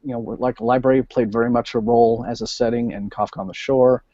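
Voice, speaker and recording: A man hosting a casual book discussion, speaking haltingly and often rephrasing mid-thought over an online call. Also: medium pitch, fairly even intonation, warm tone, no room sound. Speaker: host of a book discussion liveshow. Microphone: video call. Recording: muffled, lo-fi online call audio.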